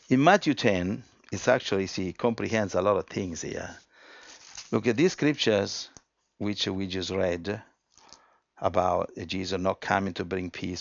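A man preaching a sermon, reading scripture aloud and explaining it emphatically, with frequent restarts and swings from quiet to loud. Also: low voice, vocal fry, no room sound, dull audio.

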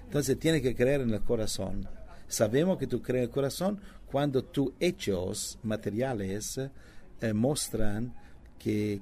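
Man, preacher, gravelly, mellow dark tone, halting with restarts. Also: medium pitch, slight background noise. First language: not Spanish; preaches in English and Italian. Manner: halting non-native Spanish with many restarts and fillers. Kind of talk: conversational Bible teaching.

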